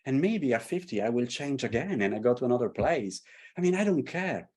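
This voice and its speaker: Italian guy, strong accent, middle-aged, talks with real passion, animated. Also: mid-range voice, brisk. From Italy. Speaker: artist and tarot creator. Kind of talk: casual conversation. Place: London.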